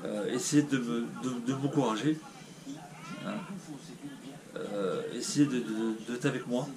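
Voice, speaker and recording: A man's voice: mid-range voice, a little slow, a crowd in the background. A man vlogging to his viewers with a stuffy nose from a cold, rambling and hesitant, with many fillers, and sounding discouraged. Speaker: YouTuber. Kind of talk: casual vlog talking to viewers.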